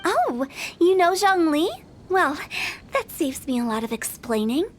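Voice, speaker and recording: A woman's voice: high-pitched, sing-song, a little slow, a little hiss or hum. A woman voicing a playful, eccentric young female funeral director in a video game, theatrical and cheerfully morbid. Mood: breezy. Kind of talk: video game character acting. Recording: game music behind the voice.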